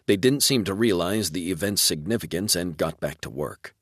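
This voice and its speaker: A man with a low voice narrates a military sci-fi audiobook. The recording is dry and close, with no room sound, and the delivery is scripted storytelling. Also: expressive, normal speed, no echo.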